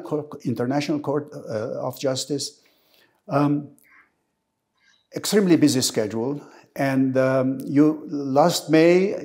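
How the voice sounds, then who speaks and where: Man, interviewer, formal and measured, mid-range voice, pauses between phrases. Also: mid-range voice, darkish tone. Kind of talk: interview questions.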